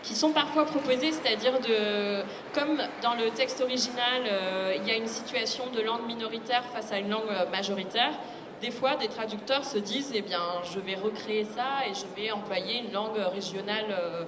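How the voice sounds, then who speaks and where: A woman's voice: fairly high pitch, moderate pace. Woman speaking in a noisy, echoey hall. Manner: thoughtful, explanatory, illustrates with quoted asides. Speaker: literary translator, guest speaker. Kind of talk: conversational public discussion of literary translation. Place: public book fair talk. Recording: echoey hall, loud ambient noise.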